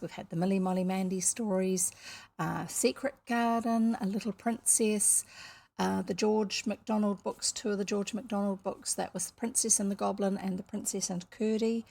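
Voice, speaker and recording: A woman's voice: medium pitch, gravelly, warm tone. A New Zealand woman streamer chatting casually to her viewers, rambling along with lots of fillers. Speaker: storytelling streamer.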